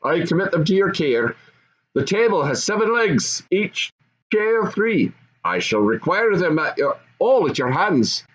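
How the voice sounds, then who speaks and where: A man's voice: sits high, sing-song, unhurried, a bit bright, voice pushed up, exaggerated voice, poor audio. A man voicing a gloating, bossy goblin father and husband, emphatic and knowing, in a storybook reading. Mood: commanding.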